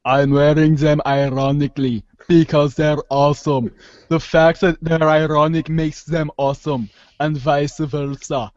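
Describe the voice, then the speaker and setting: A man's voice: on the high side, flat delivery, measured pace, a little mellow, no room sound, muffled recording, lightly acted. Man putting on a smug mock-Austrian action-hero teen. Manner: smug, dismissive, slangy. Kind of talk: comic reader's theater performance.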